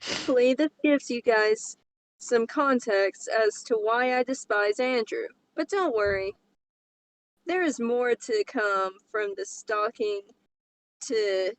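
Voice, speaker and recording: A woman with a fairly high voice reads a story aloud as a co-host. She takes it slowly, adding casual asides as she goes. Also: low-quality recording. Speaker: co-host reading online stories aloud. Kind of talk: story reading with casual banter.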